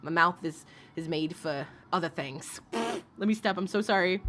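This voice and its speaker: A woman's voice: fairly low pitch, moderate pace, fairly bright tone, a little hiss or hum. A woman commenting casually to her live chat, rambling and laughing often, with asides to viewers. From Alaska. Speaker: commentary streamer.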